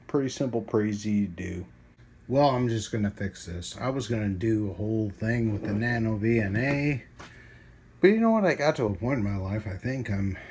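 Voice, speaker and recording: Middle-aged man, lowish pitch, casual vlog narration, rambling, colloquial, self-deprecating, some background noise. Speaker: radio hobbyist making a video. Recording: clear background noise and ambience.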